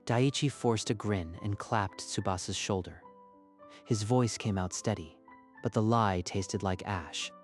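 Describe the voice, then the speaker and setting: A man's voice: fairly low pitch, unhurried, soft background music. A man narrating a scripted story in a dramatic style.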